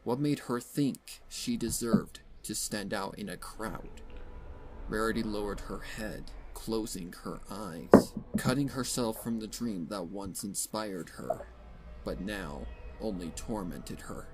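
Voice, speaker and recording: A man narrating scripted fan fiction as a dramatic reading, solemn and measured. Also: fairly low pitch, heavy background noise.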